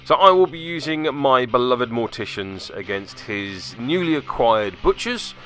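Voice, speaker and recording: A man's voice: mid-range voice, animated, measured pace, a bit bright, soft background music. A man hosting a tabletop game web series, giving chatty voice-over commentary with wry, cheeky asides. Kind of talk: casual scripted-feel commentary over game footage.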